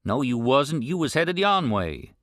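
A man's voice: mid-range voice, big pitch swings, unhurried, a bit bright, dry recording, clean studio sound, voice pushed up. A man voicing a blunt, exasperated older male rancher, drawling. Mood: gruff. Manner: gruff drawling, raised voice.

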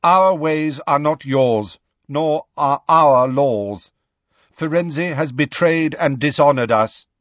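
A man voicing a proud, stern male centaur in a storybook, speaking in a formal, measured and calmly menacing way. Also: a little high, no room sound, highs cut off, voice pushed up.

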